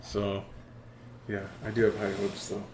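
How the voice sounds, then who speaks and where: A man in his thirties, a podcast guest speaking in a calm, measured and easygoing way. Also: fairly low pitch, a little hiss or hum. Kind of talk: casual chat. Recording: roomy echo.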